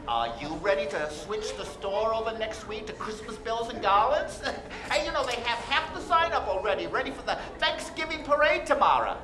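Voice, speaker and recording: Man acting a folksy, animated department store greeter. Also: very high-pitched, moderate pace, hardly any pauses. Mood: cheerful. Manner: folksy, chatty, chuckling and self-effacing. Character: cheerful, humble male department store greeter in his forties. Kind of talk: 1940s period radio drama acting. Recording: roomy stage sound, clear background noise.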